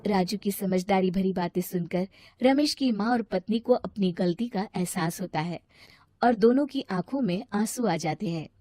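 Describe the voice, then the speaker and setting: A woman's voice: medium pitch, moderate pace, warm tone, dry recording. A woman reading scripted story narration as the storyteller.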